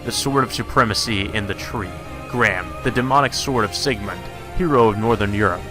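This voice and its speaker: A man's voice: fairly low pitch, a little fast, over music. A man reading a visual novel aloud as narration, in the manner of a let's play host.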